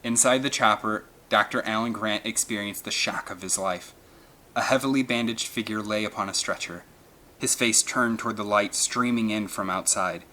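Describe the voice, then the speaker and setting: A man's voice: fairly low pitch, moderate pace, sharp tone, a little hiss or hum. A man reading an adventure novel aloud as dramatic scripted narration. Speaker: audiobook narrator.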